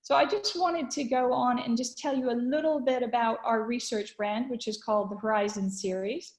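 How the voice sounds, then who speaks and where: A woman from Canada hosting an online webinar, upbeat, with frequent fillers and pauses. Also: a little high, roomy sound. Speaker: webinar host. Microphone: video call.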